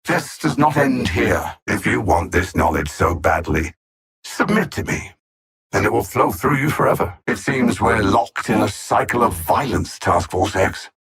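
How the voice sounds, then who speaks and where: A man playing a cold, condescending alien machine-intellect villain in a video game, speaking in a haughty, formal, measured way with a gravelly, husky voice. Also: on the low side, lively intonation, a bit bright, full character voice. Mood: haughty menace.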